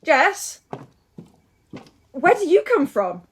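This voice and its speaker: An actress playing a frightened, guilt-ridden young woman in an audio drama, speaking in halting, broken-off phrases. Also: high voice, sing-song, full character voice. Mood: frantic, bewildered. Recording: music behind.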